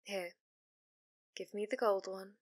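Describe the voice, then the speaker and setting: A possessive female fox spirit, acted by a woman for an ASMR roleplay. She speaks very slowly and intimately, often sinking into breathy whispers. The sound is dry and close, as if she is right at the microphone. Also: mid-range voice, steady tone, no room sound. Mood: resigned, hurt. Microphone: close studio microphone, dry.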